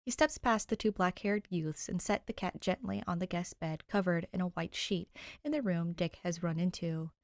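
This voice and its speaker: Lowish-voiced woman narrating, very dry sound. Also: normal speed, hardly any pauses, no room sound. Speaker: podfic narrator. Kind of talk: scripted story narration.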